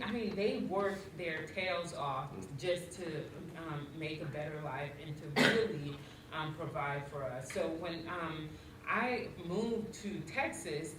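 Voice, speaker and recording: A woman from Haiti speaks with a light Haitian accent, telling her story on a panel with many ums and restarts. Her voice sits far from the mic in a very echoey room, with steady noise underneath. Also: sits low. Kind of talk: personal story in a panel discussion.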